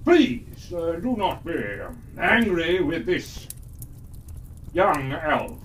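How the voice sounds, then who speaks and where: Performer as old fairytale wizard, male, calm and reasoning, hesitant, lively intonation, recorded a little far from the mic. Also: medium pitch, a little hiss or hum. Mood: soothing, hesitant. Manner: halting, hesitant, with drawn-out pauses. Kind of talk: children's fairytale storytelling.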